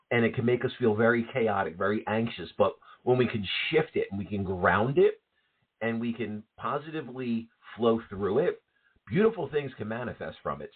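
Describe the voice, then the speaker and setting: A man with an East Coast American accent, co-hosting a spiritual talk radio show in a calm, reflective way. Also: fairly low pitch, slightly rough. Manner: calm, reflective, repeats words when thinking. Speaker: co-host, psychic medium. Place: at home. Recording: tinny phone-line sound.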